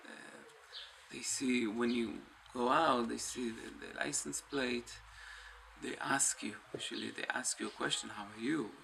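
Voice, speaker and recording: A man giving a casual, understated personal account, halting as he thinks aloud. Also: medium pitch, fairly even intonation, gravelly, bright, forceful tone. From Israel. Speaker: documentary interviewee. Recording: noisy ambience, distant microphone.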